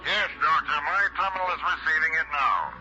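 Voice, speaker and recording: An actor playing a firm, deliberate space agency commissioner in a science fiction radio drama. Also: male voice, a little high, big pitch swings. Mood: official, concerned. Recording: muffled old radio recording, music behind.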